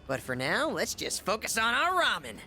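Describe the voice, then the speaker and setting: Boastful oddball foodie kid for a game, highish, super animated, music under it. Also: female voice, moderate pace, full character voice. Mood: jovial, grandiose. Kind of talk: video game voice acting.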